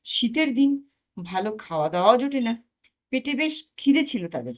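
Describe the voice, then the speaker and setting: A woman, reading a comic story aloud as an expressive narrator. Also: fairly high pitch, moderate pace. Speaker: audio story narrator and channel host.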